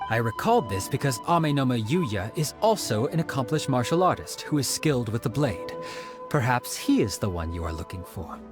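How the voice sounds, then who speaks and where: An actor playing a friendly, helpful young man in a game who shares leads, with an eager, expressive manner. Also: mid-range voice, a little fast, lightly acted. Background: game music.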